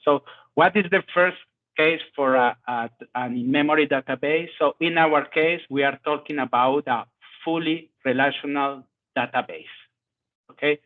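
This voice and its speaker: Halting man on a tinny call. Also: fairly high pitch. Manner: halting, non-native phrasing. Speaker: product manager presenting a demo. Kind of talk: live technical demo. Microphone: video call. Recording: tinny phone-like call line.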